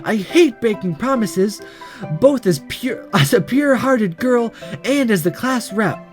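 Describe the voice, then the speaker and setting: A man's voice: extremely high pitch, animated, a little slow, soft background music, voice pushed up. A man voicing a bubbly, chatty, airheaded teenage schoolgirl while reading a visual novel aloud. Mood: chatty, apologetic. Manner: gushing, chatty.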